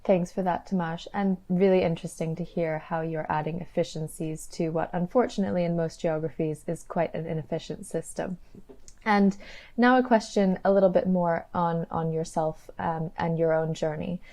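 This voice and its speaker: Mellow, low-pitched woman interviewer. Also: steady tone, moderate pace. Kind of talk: professional interview questions.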